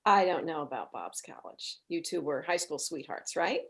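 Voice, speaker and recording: A woman's voice: deep voice, expressive, moderate pace. A woman playing a polite but blunt mother in her forties, in a comedy script read. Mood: guarded. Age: middle-aged.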